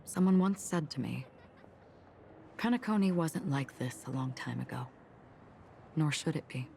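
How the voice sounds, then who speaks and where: An actress playing a melancholy, forgetful swordswoman in a game, speaking in a measured, soft and reflective way. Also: sits low, animated, gravelly, a little hiss or hum, exaggerated voice. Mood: solemn, reflective. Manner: measured, solemn, soft-spoken. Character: melancholy, forgetful swordswoman, calm and enigmatic wanderer. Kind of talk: scripted game dialogue, reflective storytelling.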